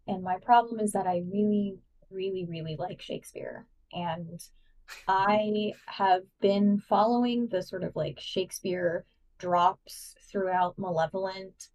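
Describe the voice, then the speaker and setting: Chatty excited woman on a podcast, warm mellow voice, sounds a bit far from the mic. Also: mid-range voice. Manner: enthusiastic, chatty, many "like" fillers. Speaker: guest co-host. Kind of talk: casual podcast chat, explaining.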